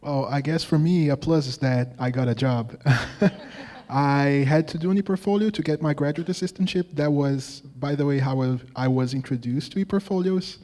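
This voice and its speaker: A young man from Brazil with a Brazilian Portuguese accent, speaking as a graduate student panelist in relaxed, casual talk with frequent fillers. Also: on the high side, normal speed. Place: conference hall at a college. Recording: hall reverb.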